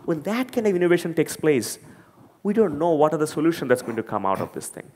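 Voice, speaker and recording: A man's voice: fairly high pitch, sing-song, fast, a little mellow, slight background noise. A man from Bangladesh with a Bangladeshi accent, giving an earnest, emphatic talk to an audience and often repeating words as he searches for them. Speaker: conference speaker. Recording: long hall reverb.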